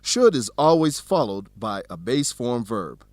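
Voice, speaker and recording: A man's voice: medium pitch, big pitch swings, a little slow, dry recording, studio quality. A man giving scripted educational narration as a host and English teacher, in a didactic, carefully enunciated manner.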